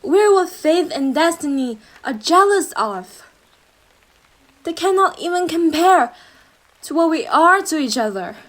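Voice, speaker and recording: Woman acting a slow-talking possessive yandere girlfriend. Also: very high voice, lively intonation. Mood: fervent devotion. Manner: slow, sugary endearments swinging into intense jealous outbursts. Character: possessive yandere girlfriend, obsessive, syrupy, menacing, young woman. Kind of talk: dramatic roleplay monologue addressed to the listener.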